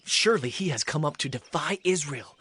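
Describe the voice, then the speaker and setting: An actor playing an excitable soldier among awed troops, emphatic, in a dramatized scripture recording. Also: mid-range voice, brisk, husky. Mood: alarmed, excited.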